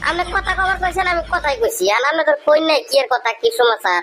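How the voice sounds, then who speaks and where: A man's voice: extremely high pitch, animated, bright, forceful tone, slight background noise, voice pushed up, exaggerated voice. A man voicing a cheeky young village schoolboy who backtalks. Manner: cheeky, quick, argumentative excuses.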